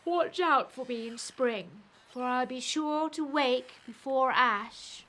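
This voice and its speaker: High, bright, weary oak tree. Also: female voice, takes it slowly, full character voice. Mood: sleepy, resigned. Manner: reluctant, dramatic, sighing. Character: weary old oak tree, female, proud but kindly. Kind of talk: family audio drama acting.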